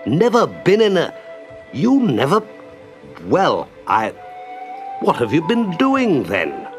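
A man voicing a jolly, brisk, hearty water rat in a children's story, exclamatory and confident. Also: on the low side, big pitch swings, faint music behind. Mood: astonished.

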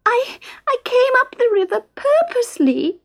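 A woman's voice: very high voice, fairly even intonation, measured pace, pitched above the performer's own voice. A woman voicing a little girl mermaid who wails and weeps as she pleads. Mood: wailing. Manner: wailing, weepy.

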